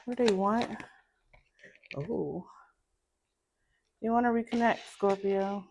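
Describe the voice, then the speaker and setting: Woman, low voice, tarot reader, soft-spoken, musing, faint background hiss. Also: takes it slowly, gravelly. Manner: soft-spoken, musing, repeats phrases to herself. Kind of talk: casual tarot reading vlog.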